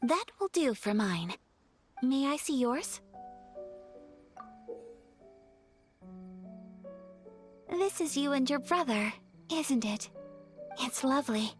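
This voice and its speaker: A woman playing a gentle, refined young noblewoman in a game cutscene, soft-spoken and polite, with earnest, wistful formality. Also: sits high, animated, unhurried. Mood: warm, polite. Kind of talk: video game cutscene voice acting. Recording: music behind the voice.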